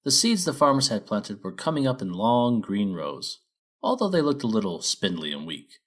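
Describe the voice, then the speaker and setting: A man narrates a scripted children's story. His voice sits on the low side and swings widely in pitch, giving the telling an expressive storybook feel. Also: moderate pace.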